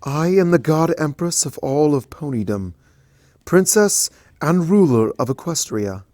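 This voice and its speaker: A man voicing a regal female princess, gentle yet commanding, in a stately, proclaiming way. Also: on the high side, animated, unhurried, no echo, voice pushed up.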